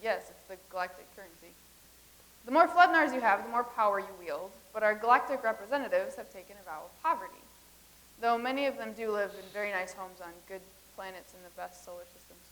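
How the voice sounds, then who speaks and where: A woman's voice: mid-range voice, lively intonation, fast talker, a bit bright, natural voice, poor audio. Woman reading as a blunt future lady, plain voice, very matter-of-fact. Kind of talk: staged comedy reading.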